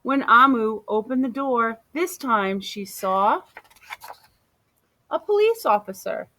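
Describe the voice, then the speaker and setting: A woman reads a children's picture book aloud at a slow, deliberate pace. Her voice is bright and fairly high, and she tells it expressively, drawing out words. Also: a little hiss or hum. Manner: deliberate, animated storytelling with drawn-out words.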